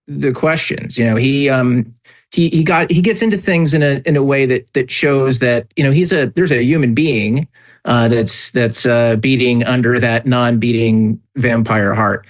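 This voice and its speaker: A man co-hosting a podcast in casual chat, speaking emphatically and deliberately as he restarts phrases. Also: mid-range voice. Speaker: podcast co-host.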